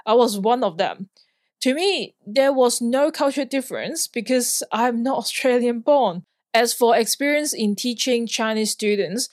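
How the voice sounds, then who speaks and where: A woman's voice: medium pitch, darkish tone, dry recording, studio quality. A woman in her thirties from Hong Kong, a podcast host telling a personal story in an earnest, plain way. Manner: earnest, plainly worded, occasional light laugh. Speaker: podcast host, flying instructor.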